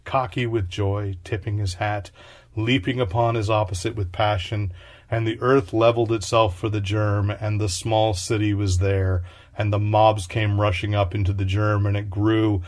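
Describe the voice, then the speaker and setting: A man's voice: on the low side, flat delivery, normal speed. A man reading a literary short story aloud in a declamatory, incantatory way, phrase by short phrase. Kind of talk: dramatic literary reading, scripted narration.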